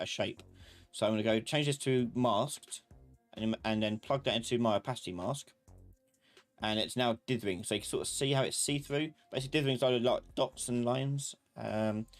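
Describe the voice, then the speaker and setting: A man with a fairly low voice leads a live software class in an informal way. He explains each step while he works, often muttering his thoughts aloud. Soft music plays behind him along with keyboard typing. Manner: informal, thinking aloud, talking to himself. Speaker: instructor of a live online class.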